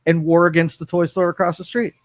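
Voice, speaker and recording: A man's voice: fairly high pitch, flat delivery, quick pace, dry recording, clean studio sound. Musing male talk radio host. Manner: musing, with frequent fillers and restarts. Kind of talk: casual opinion talk. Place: studio.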